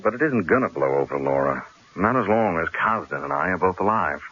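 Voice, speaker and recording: An actor playing a stubborn, sardonic newspaper columnist under threat, in an old-time radio drama. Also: male voice, low voice, lively intonation, brisk, fairly bright tone, husky, exaggerated voice. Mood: grim, resolute. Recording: dull, hissy radio drama recording.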